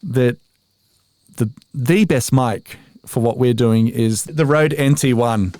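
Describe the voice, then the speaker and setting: A man's voice: mid-range voice, slow pace, warm tone, no echo. An Australian man in his forties, a voiceover artist talking casually as a podcast guest, chatty and self-deprecating with frequent restarts and laughs. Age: 40s. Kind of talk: casual interview chat.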